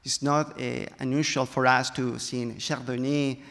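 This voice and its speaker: A man with a Chilean accent and a gravelly edge to his voice talks into a handheld mic on stage. He explains in careful second-language phrasing, and the hall leaves a long reverb trailing his words. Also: medium pitch, little pitch movement, a little slow, a bit bright. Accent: Chilean Spanish. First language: Spanish. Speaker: panelist, viticulture specialist. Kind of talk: panel discussion.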